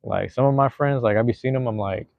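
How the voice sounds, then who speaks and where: A man's voice: medium pitch, fast talker, creaky, dry recording. Laid-back man in his thirties, dull lo-fi sound. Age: 30s. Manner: rambling, laid-back, slangy, swears freely. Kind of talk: casual chat.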